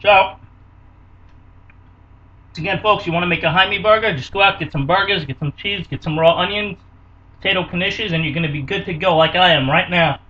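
A man, hosting a casual home cooking video in a small kitchen with energetic, hammy showman patter. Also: sits high, a little fast, long pauses, distant mic. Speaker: cooking show host. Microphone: camera mic close by. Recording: dull lo-fi webcam audio from a bit off-mic.

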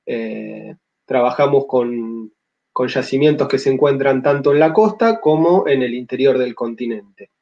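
A man in his thirties with an Argentine accent, giving a measured academic slide talk over a video call, pausing often with filler sounds. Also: medium pitch, vocal fry, mellow. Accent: Argentine Spanish. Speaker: paleontology lecturer. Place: home room on a video call. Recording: slightly dull lo-fi audio.

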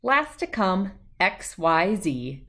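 A woman in her thirties reading a picture book aloud to young children in an expressive, playful, rhythmic way. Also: fairly low pitch, slow pace. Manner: expressive, playful, sing-song rhythmic delivery. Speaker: children's read-aloud host.

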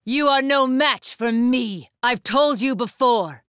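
A woman's voice: fairly high pitch, takes it slowly, fairly bright tone, clear, resonant tone, no room sound, clean studio sound. An actress playing an imperious, ruthless young woman warrior, speaking in formal, haughty declamations for an anime fighting game. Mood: cold, haughty.

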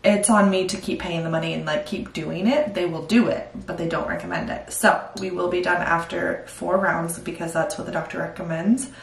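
A woman's voice: lowish voice, steady tone, normal speed, creaky, hardly any pauses. A young woman talking candidly to the camera in a casual home vlog, chatty and full of fillers. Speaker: vlogger. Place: home bathroom, indoors. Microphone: far camera. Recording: roomy echo from far off.

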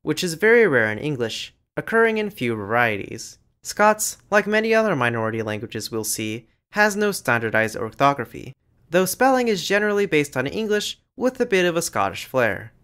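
A man giving scripted educational narration over slides, in a lively, explanatory way. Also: fairly high pitch, normal speed, clean tone. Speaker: language channel narrator.

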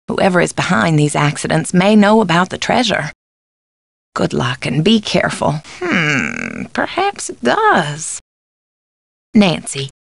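An actress playing a kindly, motherly middle-aged American housekeeper in a video game, genteel and gently confiding. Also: mid-range voice, creaky, no room sound, full character voice. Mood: confiding, cautious. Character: kindly, motherly, concerned housekeeper woman on the phone. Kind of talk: acted game dialogue lines.

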